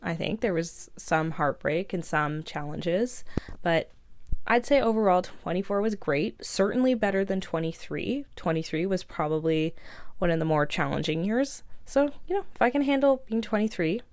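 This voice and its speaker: A woman's voice: on the low side, expressive, moderate pace, darkish tone. A woman in her twenties talking casually to camera in a rambling vlog, filling her speech with "like". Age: 20s. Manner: chatty, fills speech with "like", rambling. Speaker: vlogger. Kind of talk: casual reflective vlog monologue.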